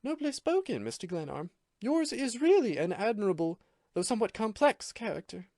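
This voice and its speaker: A man's voice: sits high, sing-song, normal speed, darkish tone, no echo, studio quality, pitched above the performer's own voice. A man voicing a cool, scornful young woman who speaks with mocking disdain. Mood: teasing.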